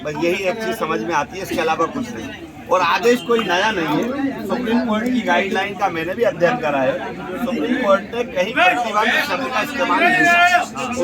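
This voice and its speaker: A man giving a critical, assertive political soundbite to the press, recorded outdoors. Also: high-pitched. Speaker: opposition politician. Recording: loud crowded outdoor press clip.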